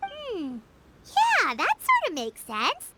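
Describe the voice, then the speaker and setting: A woman voicing a tiny, excitable, childlike fairy companion in a video game, squeaky and exclamatory. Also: takes it slowly, heavy background noise, full character voice. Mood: curious. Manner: exclamatory, speaks of herself in third person. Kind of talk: video game cutscene dialogue. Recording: music behind the voice.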